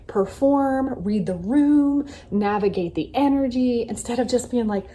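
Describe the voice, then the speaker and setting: A woman's voice: a little high, unhurried, mellow. A young adult woman vlogger talking casually to camera. She reflects on her life and speaks in earnest self-affirmations.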